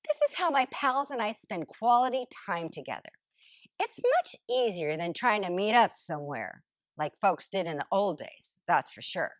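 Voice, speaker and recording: A woman voicing a smug, lazy couch potato in an expressive, theatrical storybook read-aloud. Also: medium pitch, no room sound, natural voice. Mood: self-satisfied. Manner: theatrical, exclamatory, drawn-out emphasis. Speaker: storybook narrator character. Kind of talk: expressive first-person picture book read-aloud.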